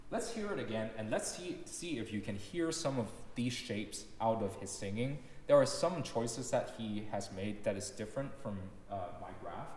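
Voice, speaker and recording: A man's voice: lowish voice, darkish tone. Young male lecturer, native Cantonese speaker, careful explanatory delivery, very reverberant recital hall with a faint hiss. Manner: explanatory, measured, demonstrating examples aloud. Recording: echoey hall with long reverb, faint noise.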